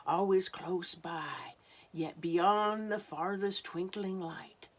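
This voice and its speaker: A woman voicing a wise, gentle old female turtle from a children's storybook, speaking solemnly and deliberately. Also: lowish voice, steady tone. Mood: solemn, reverent. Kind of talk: storybook read-aloud for children.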